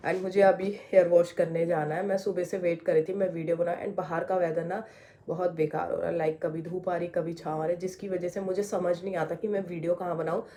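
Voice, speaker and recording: A hoarse young woman from India talks through her skincare routine at home, chatty and explaining each step. Her voice sits fairly low for a woman and sounds dark and mellow, with a heavy, worn edge from a sore throat. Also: flat delivery, a little fast. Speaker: beauty vlogger. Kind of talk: casual skincare tutorial chat. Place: indoors at home.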